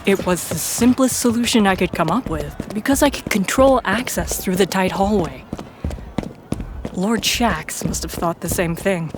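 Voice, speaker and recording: A woman's voice: medium pitch, unhurried. Woman narrating over noticeable noise. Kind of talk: scripted first-person story narration. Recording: clear background noise and ambience.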